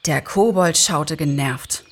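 A woman narrator reading a story aloud in an expressive, scripted style. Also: low-pitched, slow.

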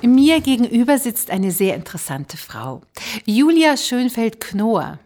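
A woman with a fairly high voice hosts an interview. She talks in a chatty, conversational manner and reacts openly with exclamations as she addresses her guest. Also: big pitch swings, a little slow, a little mellow, faint music behind. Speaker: podcast host.

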